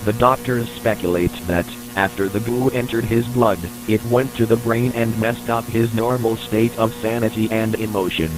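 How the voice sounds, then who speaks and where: A man's voice: lowish voice, steady tone, normal speed, few pauses. Man narrating over noisy hum. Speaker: narrator of an analog horror tape. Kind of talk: scripted narration as a company scientist's report tape. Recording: noisy recording with electrical hum.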